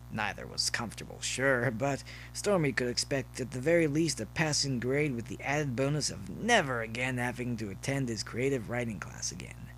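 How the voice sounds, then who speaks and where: A man's voice: medium pitch, fairly bright tone, rough recording. Man narrating a story over faint hum. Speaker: narrator, fan fiction reader.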